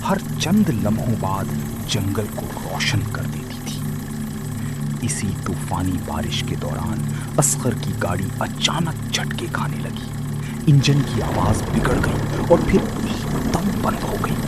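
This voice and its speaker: A man narrating a scripted horror story in a dramatic, suspenseful and deliberate manner. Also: on the low side. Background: loud music.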